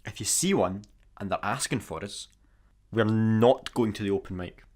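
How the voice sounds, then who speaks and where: An actor playing an eager but unsure amateur guitarist on the run in a sci-fi comedy radio play. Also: male voice, lowish voice, normal speed, a little mellow, lightly acted. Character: hesitant, eager, dim amateur guitarist space fugitive.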